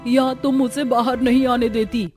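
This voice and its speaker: A woman's voice: fairly high pitch, level tone, unhurried, darkish tone, faint music behind, close to an everyday voice. A woman voicing a nosy, gossiping middle-aged neighbour in a cartoon story, prying and teasing. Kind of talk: cartoon story character acting.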